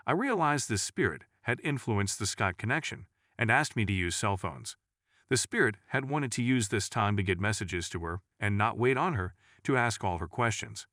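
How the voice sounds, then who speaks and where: Male narrator for scripted paranormal stories. Lowish register, animated melody, dry recording. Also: no room sound. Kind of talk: scripted first-person story narration.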